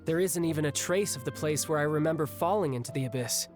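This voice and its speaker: An actor playing a young, restless, battle-hungry warrior in a video game, speaking in a breezy, reflective way. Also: male voice, a little high, quick pace, natural voice. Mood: wistful, casual. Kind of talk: video game cutscene acting. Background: game music.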